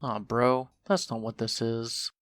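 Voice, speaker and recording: A woman's voice: very deep voice, steady tone, takes it slowly, no echo, clean studio sound, voice pushed down. A woman voicing a lazy, joking skeleton brother with a casual, nonchalant drawl in a fan audio story. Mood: nonchalant, teasing. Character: laid-back, joking skeleton older brother, a lazy swindler.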